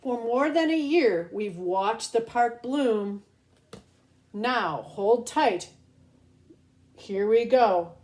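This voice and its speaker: Slow-paced woman reading aloud to young children. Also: on the high side. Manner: expressive, child-directed, pausing to explain pictures and words. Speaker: pre-kindergarten teacher reading aloud. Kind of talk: children's picture book read-aloud.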